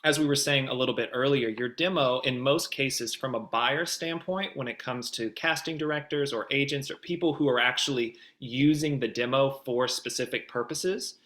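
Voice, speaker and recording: A man's voice: mid-range voice, moderate pace, few pauses, fairly bright tone. A man giving conversational, explanatory advice as a guest producer in an online Q&A.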